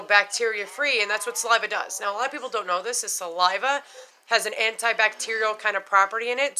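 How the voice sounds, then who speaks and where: A woman's voice: mid-range voice, bright, forceful tone, a little hiss or hum. A middle-aged woman talking casually and frankly in a vlog, chatty, swearing now and then and full of "you know" fillers. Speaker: vlogger sharing denture experiences. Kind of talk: casual unscripted vlog talk.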